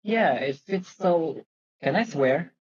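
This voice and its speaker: A man's voice: a little high, animated, slow pace, darkish tone. A man chatting casually and in slang as a co-commentator on a read-along. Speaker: co-commentator listening to the reading. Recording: dull, lo-fi voice audio.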